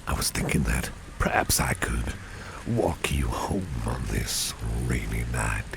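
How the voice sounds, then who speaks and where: A man playing a courteous, doting businessman boss in an ASMR roleplay, speaking in a soothing, breathy half-whisper. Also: very deep voice, lively intonation, unhurried, full character voice. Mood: attentive, gently charming. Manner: gentlemanly, formal, soothing. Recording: noisy, with rain and street ambience.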